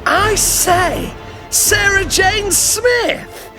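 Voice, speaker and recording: An actress playing a curious character greeting someone in a space adventure audio drama. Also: female voice, very high voice, extremely slow, exaggerated voice. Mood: teasing. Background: loud dramatic trailer music.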